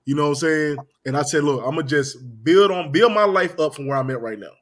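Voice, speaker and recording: Fast-talking man hosting a casual podcast. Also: a little high, a bit bright. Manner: loose, repetitive, peppered with "man" and "you know what I'm saying". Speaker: podcast host.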